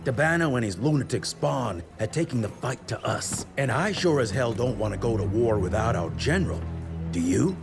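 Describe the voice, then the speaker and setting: An actor playing a gruff, seasoned male hunter who talks bluntly and steadily. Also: mid-range voice, animated, normal speed. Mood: grave. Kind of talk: game cutscene acting. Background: music score.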